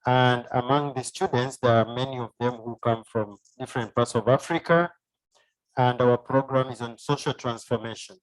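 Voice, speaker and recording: A man with a Kenyan accent speaks at a middle pitch as an academic guest in an interview. He explains things formally and methodically, point after point. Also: rough recording. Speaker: university professor, interview guest.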